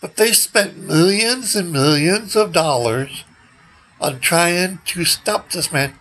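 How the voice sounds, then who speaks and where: Slow-talking older man, halting casual vlogger. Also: sits high, a bit bright, low-quality recording. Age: older, around 60s. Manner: halting, unhurried, trailing off. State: recovering from nearly losing his voice. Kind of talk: rambling casual selfie vlog. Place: outdoors beside a brick building. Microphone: handheld phone at arm's length.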